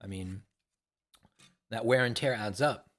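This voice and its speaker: A man's voice: on the low side, a little slow, a bit bright. A man in his sixties talks to viewers in a loose, rambling monologue, repeating phrases and swearing casually. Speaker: vlogger.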